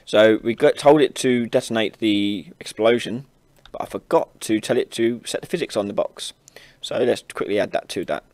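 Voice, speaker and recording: A man hosting an informal software tutorial, casually explaining each step as he demonstrates it on a close mic. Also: lowish voice, normal speed, few pauses, no echo. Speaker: tutorial host. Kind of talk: informal screen-recorded software tutorial narration.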